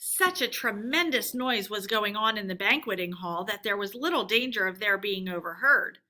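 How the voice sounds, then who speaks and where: A woman reading a children's story aloud as an expressive storyteller. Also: mid-range voice, normal speed.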